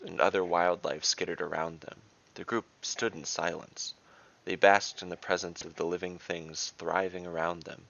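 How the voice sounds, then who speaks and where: A man narrating a scripted fantasy story in measured, deliberate phrases. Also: sits low, creaky, a bit bright, dull audio. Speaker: narrator and game master.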